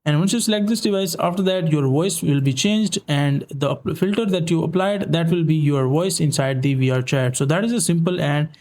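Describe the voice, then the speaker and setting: A man with a somewhat high voice hosts a software tutorial. He gives instructions step by step in informal wording, often repeating himself as he guides the listener. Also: brisk, few pauses. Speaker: tutorial host.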